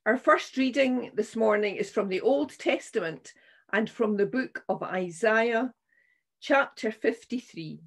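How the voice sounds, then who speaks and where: An older woman minister reading scripture and preaching in a solemn, measured, deliberate way. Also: fairly high pitch, expressive. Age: 60s or older. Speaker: minister leading a church service. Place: small room at home, indoors.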